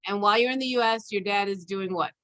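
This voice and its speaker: A woman hosting a conversational interview, chatty and halting as she searches for her words. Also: mid-range voice, a bit bright, smooth. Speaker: interview host. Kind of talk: conversational interview with an informal guest introduction.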